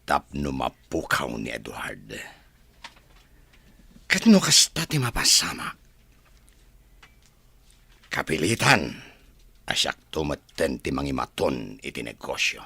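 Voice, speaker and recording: A spoiled young boss villain in a radio drama. His voice is low and gravelly, with wildly swinging pitch and a haughty, domineering edge. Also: wildly swooping pitch, slow pace, pauses often, sharp tone, dry recording, exaggerated voice. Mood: scheming. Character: arrogant scheming spoiled rich son, young boss. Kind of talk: Ilocano radio drama acting. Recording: dry studio, music underneath.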